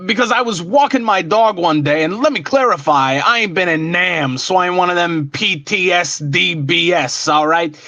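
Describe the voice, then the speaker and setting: A man's voice: high-pitched, normal speed, few pauses, bright, forceful tone, dry recording, highs cut off. A man playing an agitated, paranoid, folksy caller who rants and rambles in an amateur radio play. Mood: agitated, insistent. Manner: ranting, rambling, folksy ungrammatical talk. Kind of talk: amateur radio drama acting.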